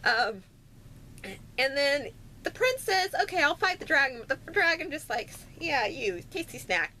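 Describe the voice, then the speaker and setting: A woman's voice: high voice, sing-song, a little slow, bright, forceful tone, a little hiss or hum. A woman chatting casually and unscripted as a vlogger, retelling stories in a playful, slangy way with frequent asides. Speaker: vlogger recounting favourite fairy tales. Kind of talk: casual unscripted chat to camera.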